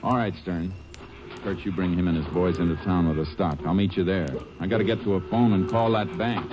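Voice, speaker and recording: A man playing a calm, shrewd Texas Ranger in a 1950s radio western, terse and authoritative. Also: deep voice, fairly quick, lightly acted. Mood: brisk, businesslike. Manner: terse, matter-of-fact, authoritative. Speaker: lead actor and first-person narrator. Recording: dull vintage radio recording, music cues.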